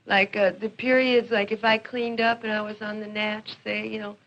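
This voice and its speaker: A woman's voice: medium pitch, little pitch movement, moderate pace, dull audio. A woman speaking candidly and casually as a former addict to students, slangy and frank, on a 1970s film soundtrack. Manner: frank, slangy, checks listeners understand. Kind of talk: candid, casual personal testimony.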